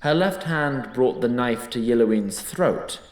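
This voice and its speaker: A man narrating a fantasy story in a dramatic, deliberate, scripted storytelling style. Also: medium pitch, animated, a little mellow, reverberant.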